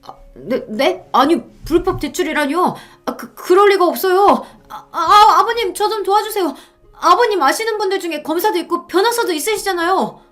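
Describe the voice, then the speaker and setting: A woman's voice: very high voice, lively intonation, moderate pace, a bit bright, faint music behind, pitched above the performer's own voice. A woman voicing a panicked, cowardly son-in-law in a story, stammering and wailing as he pleads. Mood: panicked pleading.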